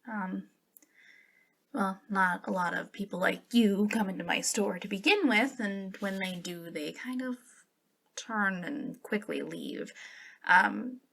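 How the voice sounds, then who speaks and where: A young woman playing a friendly, eager and slightly awkward witch shopkeeper in a softly spoken ASMR roleplay, with a hesitant, giggly way of talking. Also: medium pitch, slow pace, creaky, full character voice.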